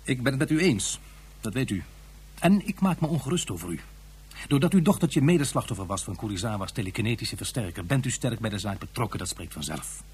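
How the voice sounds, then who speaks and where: An actor playing a cautious, talkative male professor and government adviser in a radio play, measured and tactful. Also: mid-range voice, animated, husky. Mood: earnest.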